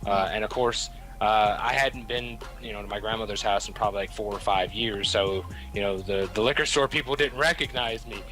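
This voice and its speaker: Young kentucky guy, southern accent, cussing and restarting a lot, bright voice. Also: on the low side, steady tone, a little fast, few pauses, faint music behind, poor audio. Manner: rambling, profane, many restarts. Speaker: podcast host. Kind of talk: casual storytelling chat.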